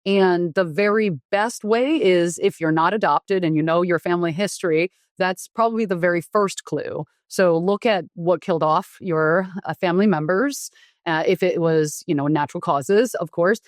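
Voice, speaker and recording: A woman doctor who grew up in the US after being born in Taiwan, explaining frankly in a relaxed interview with many fillers and restarts. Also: lowish voice, moderate pace, no echo, studio quality. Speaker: doctor and guest. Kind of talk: conversational interview.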